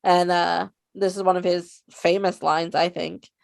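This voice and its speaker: A woman co-hosting a casual fan chat, relaxed and encouraging. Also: lowish voice, a little slow, creaky, no echo, clean studio sound. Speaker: co-host.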